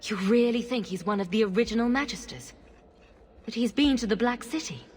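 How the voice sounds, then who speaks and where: An actress playing a kind, quietly burdened heroine in a fantasy game, with a husky voice and gentle manner. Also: female voice, on the high side, a little fast, slight background noise, close to an everyday voice. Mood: questioning. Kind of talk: fantasy game cutscene acting. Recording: hall reverb, music behind.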